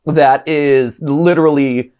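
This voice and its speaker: A man's voice: a little high, fairly even intonation, takes it slowly, darkish tone, clear, resonant tone. A man from Ohio in his thirties, hosting a casual reaction show and rambling animatedly, with frequent restarts and chuckles. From Ohio, USA. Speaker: host.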